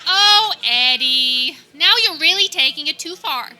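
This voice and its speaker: Very high, swooping cheery wife. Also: female voice, expressive, unhurried, bright, forceful tone, faint music behind, exaggerated voice. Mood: chiding. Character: cheery, gushing, chiding wife. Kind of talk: comedic radio play acting.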